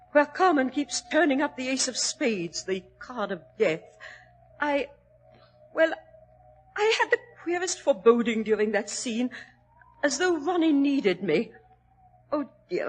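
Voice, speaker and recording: A woman's voice: sits high, lively intonation, unhurried, husky, full character voice. An actress in an old radio drama playing a fretful, genteel aristocratic mother who frightens easily. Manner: fussy, genteel, easily alarmed. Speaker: Lady Maynooth. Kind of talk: 1940s radio acting. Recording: old radio broadcast recording.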